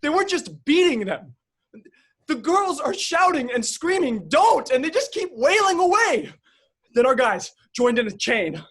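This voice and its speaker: A man's voice: extremely high pitch, sing-song, a little slow, a bit bright, full character voice. An actor playing a rowdy young soccer-fan protester who swears and tells his story in an agitated rush. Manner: crude, breathless storytelling, swearing.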